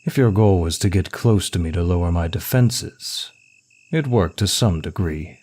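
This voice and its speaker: A man playing a suave, smug male demon of lust in an ASMR roleplay, with a teasing, deliberate, seductive delivery. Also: sits low, darkish tone. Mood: intrigued, coolly impressed.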